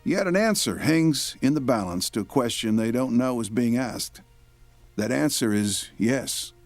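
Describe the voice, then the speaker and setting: An actor playing a folksy, philosophizing announcer of a radio serial, reading scripted narration. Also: male voice, medium pitch, animated, a little slow, no room sound, faint music behind. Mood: wry, grand.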